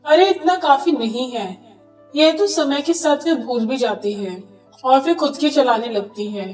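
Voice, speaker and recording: Woman as a high-voiced scheming neighbour. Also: a little slow, reverberant, distant mic, music in the background, low-quality recording. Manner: conspiratorial, instructing. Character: scheming, advice-giving neighbourhood women.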